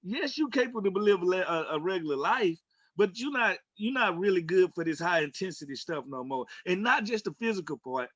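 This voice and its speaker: High, bright-voiced man talking sports. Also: animated, fairly quick, no room sound, highs cut off. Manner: emphatic, colloquial, folksy storytelling. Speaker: sports talk co-host. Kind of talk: opinionated casual sports commentary.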